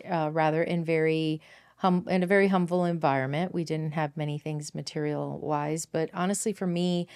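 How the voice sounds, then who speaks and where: A woman in her fifties talks through her own story as a podcast host, her voice low and smooth. She speaks earnestly and reflectively, often pausing on fillers and correcting herself mid-sentence. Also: darkish tone. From Miami, Florida, United States. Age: 50s. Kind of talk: personal storytelling monologue.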